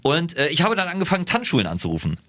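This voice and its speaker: A male radio host chatting excitedly, often stumbling and restarting his sentences. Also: fairly high pitch, rapid-fire, background noise. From northern Germany. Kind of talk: casual chat. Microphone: handheld mic, seated.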